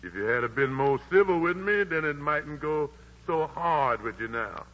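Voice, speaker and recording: A man's voice: on the high side, expressive, normal speed, close to an everyday voice. A man playing a blustering petty army sergeant. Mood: officious. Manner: blustering, officious, drawling dialect. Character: pompous male army sergeant, petty and flirtatious. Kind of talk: 1940s radio musical drama. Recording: dull vintage 1940s radio broadcast.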